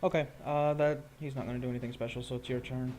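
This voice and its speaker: A man's voice: medium pitch, steady tone, fast talker, a little mellow, soft background music. A man acting as game master, casually narrating a tabletop roleplaying game and talking informally and hesitantly as he checks the rules.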